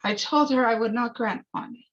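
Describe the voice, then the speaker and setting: A woman playing a bitter, measured man testifying at his murder trial in a dramatic screenplay reading, on a lo-fi recording. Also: fairly high pitch, close to an everyday voice. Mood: bitter, guarded. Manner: measured, defensive. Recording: dull, lo-fi recording.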